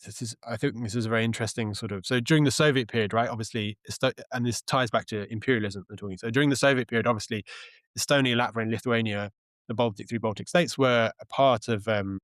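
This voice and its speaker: A man speaking as an interview guest, explaining things in a thoughtful, halting, conversational way. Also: medium pitch, a bit bright, no room sound. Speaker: interview guest, journalist and author.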